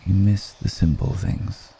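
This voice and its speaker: A man playing an ancient, world-weary, seductive vampire in an intimate ASMR roleplay, speaking in a deliberate, brooding way with long pauses. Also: warm tone, a little hiss or hum, lightly acted, poor audio. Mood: weary, wistful.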